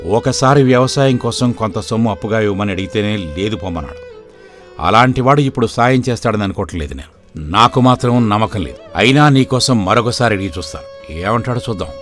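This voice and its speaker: A man's voice: lowish voice, expressive, a little fast, a bit bright, soft background music. A man playing a poor older father in a village moral story, explaining things in a resigned way. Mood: doubtful, subdued. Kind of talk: dramatized moral story for video.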